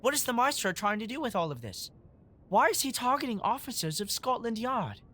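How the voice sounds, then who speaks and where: A woman's voice: a little high, very animated, fairly quick, a bit bright. A woman in an audio drama playing a plucky, earnest boy who leads a gang of street urchins. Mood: pressing.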